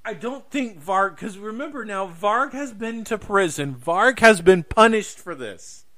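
A man's voice: high-pitched, lively intonation, a little slow, sharp tone. A man hosting a podcast, giving opinions in casual discussion, emphatic and given to swearing and repeating his points. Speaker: podcast host.

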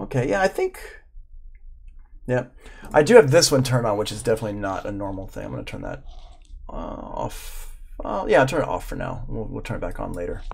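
A middle-aged man with a noticeably creaky, medium-pitched voice gives an informal software lesson. He talks conversationally, thinking out loud as he instructs. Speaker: audio production instructor. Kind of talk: informal live software tutorial.

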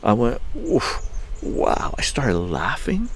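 An older man from Canada, livestreaming from his outdoor patio, telling a story in an animated, laughing way with crude language. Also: slow pace, slight background noise. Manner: animated, laughing, swearing, acts out quotes in higher voices. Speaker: livestream host. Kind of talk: casual storytelling to a live chat.

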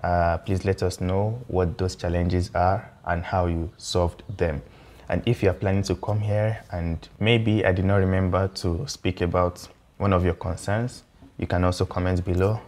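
A man's voice: low voice, little pitch movement, moderate pace, darkish tone. A young Nigerian man in his twenties with a Nigerian accent, presenting an explainer video for international students in a conversational way, with fillers and reassuring asides. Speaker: YouTube educator for international students. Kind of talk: explainer video walking through slide topics. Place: indoor room with a pool table and windows.

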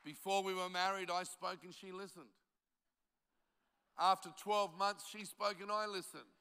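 Bright-voiced older pastor in a large hall. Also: male voice, high voice, little pitch movement, a little slow, smooth. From Australia. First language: Italian. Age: 70s. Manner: storytelling with self-deprecating jokes, rising to emphatic exhortation. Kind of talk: conference sermon.

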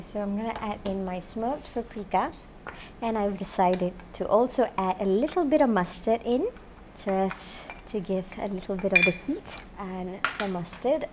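A woman's voice: mid-range voice, a little mellow, a little hiss or hum. Woman cooking show host, thirties, chatty and upbeat, lapel mic, faint room ambience, kitchen studio. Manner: chatty, upbeat, lots of "you know". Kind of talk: cooking demonstration to camera. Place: home-style kitchen studio, indoors.

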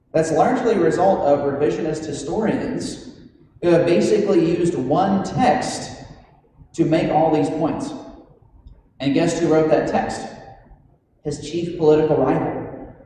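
Priest preaching in a very echoey church. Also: male voice, a little high, dark tone. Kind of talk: homily. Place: large stone church, indoors. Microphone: gooseneck pulpit microphone. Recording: very echoey church hall, noisy, distant.

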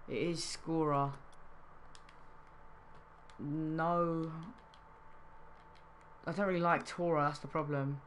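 A man's voice: fairly high pitch, a bit bright, a little hiss or hum. Chatty young male game streamer. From Wales. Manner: casual, chatty, reads chat aloud. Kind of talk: live game-trading stream chat.

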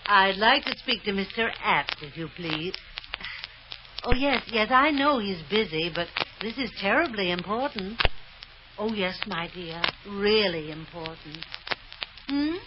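An actress playing a scheming, domineering wife in an old-time radio drama, imperious one moment and coy the next. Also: female voice, medium pitch, animated, unhurried, natural voice. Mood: coy, conniving. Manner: imperious, snapping, sweetly coy on the telephone. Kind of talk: 1950s radio drama acting. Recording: dull, noisy old radio recording.

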